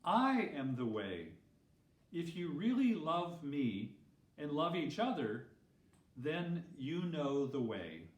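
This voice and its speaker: A man voicing a gentle, patient, reassuring male teacher in a children's Bible story, explaining kindly. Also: on the high side, sing-song, takes it slowly. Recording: roomy echo, distant microphone.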